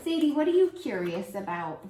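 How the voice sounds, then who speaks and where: Animated woman reading to a toddler. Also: medium pitch, normal speed, echoey room, slight background noise. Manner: animated, encouraging teacher voice. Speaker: preschool teacher. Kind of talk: children's read-aloud lesson.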